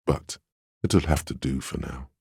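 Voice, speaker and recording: A man playing a stern, weary, protective inner voice of a detective in a video game, with measured, grave, dryly sardonic delivery. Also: steady tone, dark tone, no echo, studio quality, exaggerated voice. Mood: grave, reasoning. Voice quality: very deep, smooth, resonant. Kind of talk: scripted game dialogue and narration.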